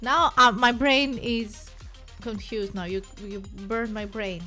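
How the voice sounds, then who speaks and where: Slow-talking Greek-accented woman streamer. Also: medium pitch, fairly even intonation, sharp tone, faint music behind. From Greece. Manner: chatty and playful, talks to chat viewers by name, thinks aloud while working. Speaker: livestream host. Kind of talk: casual live-stream chat while building a website.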